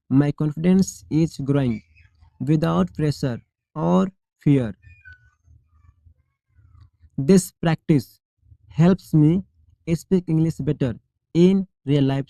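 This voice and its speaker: Slow, deliberate male English teacher. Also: fairly high pitch, dark tone, no room sound. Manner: slow, deliberate, each sentence repeated clearly. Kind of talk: shadowing drill, reading model sentences.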